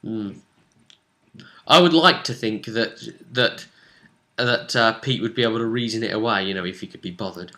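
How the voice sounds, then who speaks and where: A man chatting casually as a game master, recapping a tabletop role-playing session in an animated storytelling way with hesitant asides. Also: lowish voice, moderate pace.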